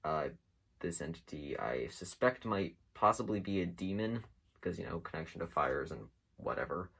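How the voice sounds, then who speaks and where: A man talks through his commentary in a very low voice with a heavy fry. He hosts casually and without a script, taking his time and often pausing as he rambles from thought to thought. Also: animated, a bit bright. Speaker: host of an internet mystery series. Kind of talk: casual unscripted video commentary.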